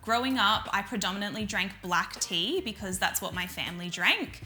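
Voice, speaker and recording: A woman's voice: mid-range voice, lively intonation, moderate pace, bright, forceful tone, soft background music. A woman from New South Wales, steadily giving a prepared self-introduction as a business partner. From New South Wales, Australia.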